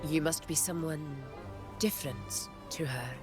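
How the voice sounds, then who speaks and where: A woman voicing a commanding, composed warrior leader in a video game, measured, solemn and authoritative. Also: low-pitched, music in the background. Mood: grave, composed.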